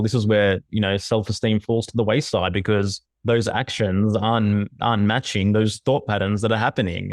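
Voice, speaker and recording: A man's voice: fairly low pitch, a little mellow, dry recording, clean studio sound. A man co-hosting a podcast, talking with enthusiasm in a motivational way and swearing casually. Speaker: podcast co-host.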